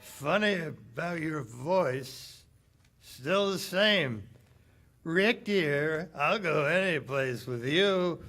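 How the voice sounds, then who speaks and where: An actor playing a bitter, heartbroken American cafe owner in 1940s radio drama style, sardonic, slangy and clipped. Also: male voice, on the high side, expressive, extremely slow, a bit bright, heavily acted. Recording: live stage radio play with music.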